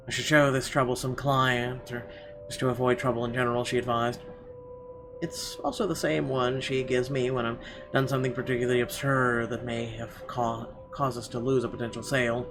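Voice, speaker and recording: A man's voice: medium pitch, noisy background. A man doing a let's play, reading visual novel narration aloud expressively with occasional stumbles. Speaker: let's player. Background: music.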